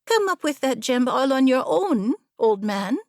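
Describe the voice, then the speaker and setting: A proud young noblewoman rider, played by a woman narrator, pitched up and full of brash defiance. She speaks boldly and challengingly, scornful of caution, as in an adventure storybook. The recording is dry and close. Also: big pitch swings, measured pace, dry recording, exaggerated voice. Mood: mocking. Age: young adult.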